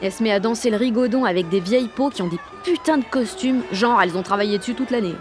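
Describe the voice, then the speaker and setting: A woman's voice: fairly high pitch, quick pace, soft background music, natural voice. An actress with a French accent playing a cocky sixteen-year-old girl who narrates her day in slangy, sweary audio fiction. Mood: amused, mocking. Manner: slangy, sweary, punchy inner monologue. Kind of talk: first-person audio fiction monologue.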